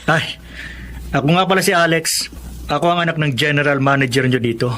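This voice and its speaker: A man's voice: a little high, brisk, a bit bright, slight background noise, lightly acted. A man playing a playful, confident boss's son in a radio drama, young, with a Filipino accent. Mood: lightly scolding. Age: young adult.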